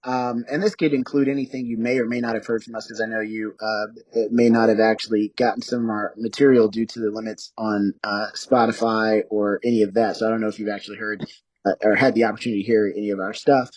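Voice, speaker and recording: A man speaking as an interview guest, explaining things casually in halting, hesitant phrases. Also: on the low side, little pitch movement, no echo, low-quality recording. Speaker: interview guest, audio drama producer.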